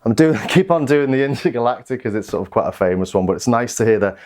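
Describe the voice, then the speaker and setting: A man's voice: mid-range voice, fast, a little mellow, soft background music. A man giving a casual product demonstration, informal and understated, thinking aloud as he goes. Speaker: synth reviewer and demonstrator.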